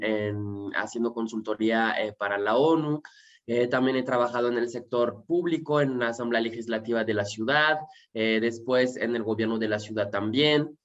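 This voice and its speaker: A man's voice: mid-range voice, muffled recording. A young man from France, a guest activist, speaking hesitantly with many fillers. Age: young adult.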